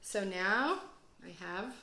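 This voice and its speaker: A woman's voice: medium pitch, animated, slow pace, bright, forceful tone, echoey room, a little hiss or hum. A woman who teaches second grade, reading and explaining things to children in an encouraging, self-deprecating way. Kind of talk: read-aloud storytelling and casual explaining for children.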